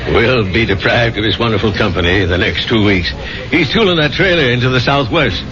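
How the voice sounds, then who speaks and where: A man's voice: mid-range voice, a little fast, a crowd in the background, lightly acted. An American man playing a plainspoken, sympathetic bartender in a 1970s radio drama, folksy and reasoning. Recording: dull old radio broadcast.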